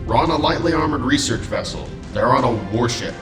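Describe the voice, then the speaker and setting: A man's voice: mid-range voice, fairly quick, natural voice. A man voicing a restless, adventurous robot captain, earnest and casual, in an amateur comic dub. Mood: determined. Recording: roomy, with music bed.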